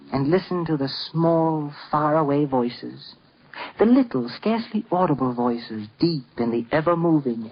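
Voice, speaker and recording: Male narrator for vintage radio storytelling, theatrical and lyrical, fairly high voice, dull old recording. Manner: theatrical, lyrical delivery with dramatic emphasis. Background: prominent music.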